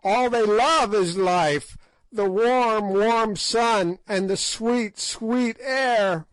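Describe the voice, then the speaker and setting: A shrieking, cackling ancient witch woman, spiteful and defiant, as a male narrator puts her on. The voice leaps high and loud above the reader's own, and the recording is dry and close. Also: slow pace, clear, resonant tone, no room sound, rough recording. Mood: raving.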